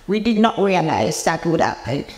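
A woman's voice: on the low side, sing-song, moderate pace, darkish tone. A woman in her eighties from Jamaica, with a Jamaican accent, recalling personal memories in a seated interview recorded in a roomy space. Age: 80s. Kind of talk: personal reminiscence to camera. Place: indoor room with bare walls, seated interview. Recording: roomy, echoing indoor interview.

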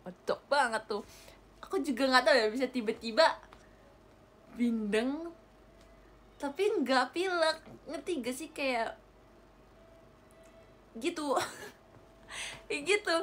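A young woman with a fairly high voice chats with her viewers in a giggly, animated way, often breaking into laughter and reading out gifts. She talks close to a webcam in a small bedroom, with a faint hiss of room noise behind her. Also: takes it slowly, long pauses. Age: young adult. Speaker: idol livestreamer. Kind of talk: casual livestream chat with viewers. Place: small bedroom with a gaming chair. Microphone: webcam or phone at close range.

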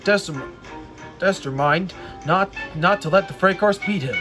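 A man reading a children's story aloud, haltingly, often repeating and correcting his words. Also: high voice, over music. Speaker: storybook reader.

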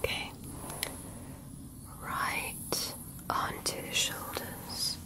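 A woman softly whispering an ASMR medical roleplay as a gentle alien examiner, speaking slowly and reassuringly up close. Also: rough recording.

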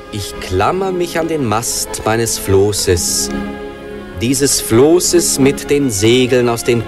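A grave storyteller in a poetic radio play. He declaims slowly at a medium pitch, measured and solemn, with music strong behind him. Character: solemn storyteller reciting words of a Greek hero. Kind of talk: poetic radio drama recitation.

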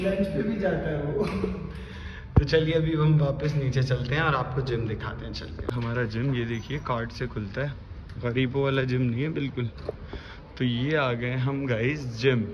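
A man's voice: mid-range voice, fairly even intonation, normal speed, in a large hall. A young man, chatting playfully as he vlogs a hotel tour on a handheld phone. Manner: chatty, playful, joking with his friend. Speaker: vlogger. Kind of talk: casual vlog narration. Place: hotel corridors and gym, indoors. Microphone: phone camera held by hand. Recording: dull phone audio with noisy background.

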